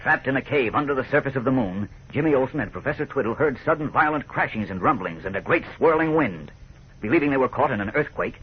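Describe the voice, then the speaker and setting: A man's voice: medium pitch, lively intonation, fast, a little hiss or hum. A man with an American accent narrating a 1940s radio serial in a theatrical, suspenseful manner. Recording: muffled vintage radio recording.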